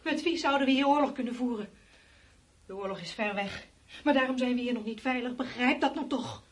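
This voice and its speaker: A woman's voice: on the high side, fairly quick, slight background noise, natural voice. A woman acting in a radio play as a weary, fearful, brooding survivor of a world war's collapse. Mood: urgent, pleading.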